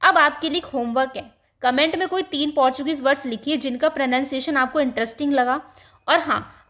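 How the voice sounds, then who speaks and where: A woman teaching a beginners' language lesson over slides, patiently slowing down to sound out each example word and mixing English terms into her explanations. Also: high-pitched, a bit bright. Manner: patient, slows down to spell out example words.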